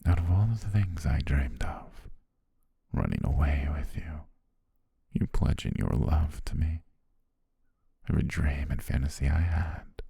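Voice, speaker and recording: A man playing an obsessive, possessive photographer in a hushed, intimate ASMR roleplay, speaking slowly in a husky, gravelly half-whisper. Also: a bass voice, no echo, exaggerated voice. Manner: slow, hushed, deliberate, with drawn-out pauses. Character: obsessive male yandere photographer, soft-spoken, possessive, menacing.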